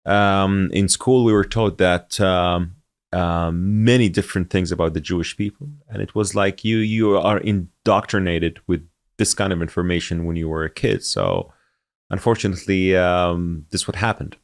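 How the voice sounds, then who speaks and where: Deep-voiced man, hesitant. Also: normal speed. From Saudi Arabia. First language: Arabic. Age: 40s. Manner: hesitant, many fillers and restarts, laughs easily. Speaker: podcast guest. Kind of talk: conversational interview.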